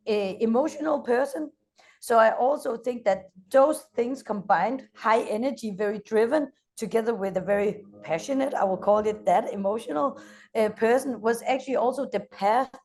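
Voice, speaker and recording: Energetic Danish woman founder being interviewed. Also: a little high. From Denmark. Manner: energetic, frequent "uh" hesitations. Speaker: guest, company founder. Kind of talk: interview conversation.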